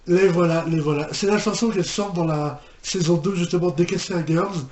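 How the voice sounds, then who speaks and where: A man's voice: high voice, steady tone, moderate pace, a little mellow. A man giving casual reaction commentary, often repeating himself and hesitating in disbelief. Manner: hesitant, repetitive, incredulous exclamations. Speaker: reaction video host. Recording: echoey room, faint noise.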